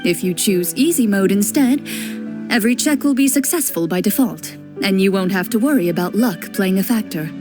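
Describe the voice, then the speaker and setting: A woman voicing a composed woman who runs the game and explains its rules calmly. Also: medium pitch, over music, natural voice. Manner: calm, explanatory, measured. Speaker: game master. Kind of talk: game voice acting.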